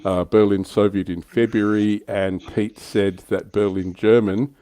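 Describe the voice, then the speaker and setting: A man's voice: fairly low pitch, flat delivery, a little slow, dark tone. A man hosting a livestream in a casual, chatty and informal way. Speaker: livestream host.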